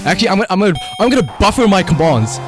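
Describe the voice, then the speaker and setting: A man with a South African accent commentating on a live gaming stream and calling out voice commands in an excitable way. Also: high voice, rapid-fire, music in the background. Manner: animated, excitable, exclamatory. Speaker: livestreamer.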